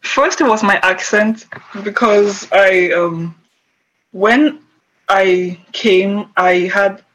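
A woman's voice: mid-range voice, extremely slow, fairly bright tone, low-quality recording. A woman with a Nigerian Igbo accent, answering interview questions casually with frequent fillers. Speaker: guest poet.

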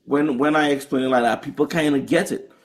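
A man's voice: medium pitch, fairly even intonation, fairly quick. A man with a Trinidadian accent, a touring jazz musician answering interview questions earnestly, often restarting his phrases.

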